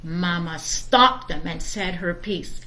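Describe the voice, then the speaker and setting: A middle-aged woman reading a children's storybook aloud in an expressive way, with chatty asides. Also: fairly low pitch, takes it slowly. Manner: chatty, expressive, easily distracted by greetings. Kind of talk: animated children's storybook read-aloud with casual bilingual asides.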